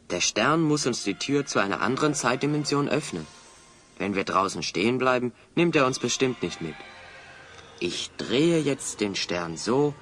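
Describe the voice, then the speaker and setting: A man's voice: mid-range voice, fairly bright tone. A man playing a bold, resourceful adventurer hero in a German children's audio drama, eagerly explaining his plans.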